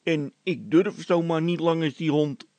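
A man's voice: on the high side, a little slow, dark tone, no room sound, pitched above the performer's own voice, natural voice. A man voicing a sheepish, anxious workman with a timid, plaintive way of talking in a read-aloud comic story. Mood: worried.

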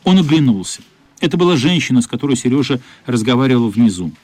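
A man narrating a literary radio play in a measured, somber, dramatic style. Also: medium pitch, animated, a little mellow, no room sound. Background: faint music.

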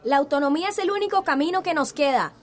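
An actress playing a determined community leader in a radio drama, firmly appealing to villagers at a meeting. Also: female voice, high voice, animated, fast. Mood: resolute. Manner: appealing, orderly, firm.

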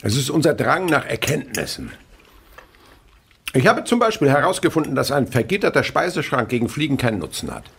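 Eccentric scientist dad in a radio drama, swoopy gravelly voice, lectures and muses with wonder. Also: male voice, medium pitch, huge pitch swings, a little fast, a bit bright, slight background noise, heavily acted. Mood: lecturing. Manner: musing, lecturing, theatrical. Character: eccentric, curious insect researcher and father, enthusiastic lecturer. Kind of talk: radio play acting.

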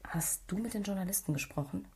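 A woman's voice: mid-range voice, fast talker, a little mellow, close to an everyday voice. A woman acting a frank, teasing police detective in a radio drama, casual and direct. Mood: matter-of-fact.

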